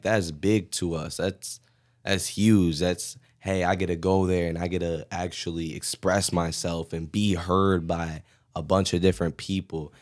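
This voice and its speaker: A man hosting a podcast who talks calmly, affirms his guests and asks them questions. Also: low-pitched, dry recording, clean studio sound. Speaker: podcast host. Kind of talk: casual podcast chat.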